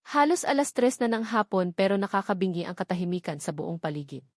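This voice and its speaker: A woman's voice: mid-range voice, very animated, brisk, no room sound. Woman narrating a romance story, dry close sound. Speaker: audiobook narrator. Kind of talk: scripted first-person romance novel narration.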